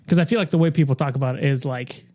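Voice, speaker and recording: A man's voice: mid-range voice, very fast, warm tone. A man co-hosting a podcast, cutting in with wry, joking remarks in casual banter. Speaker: podcast co-host.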